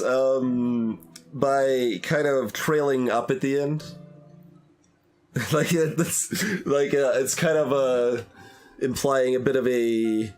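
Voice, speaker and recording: A man's voice: mid-range voice, darkish tone. A man giving casual live commentary as a game streamer, rambling and thinking aloud as he goes. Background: faint game music.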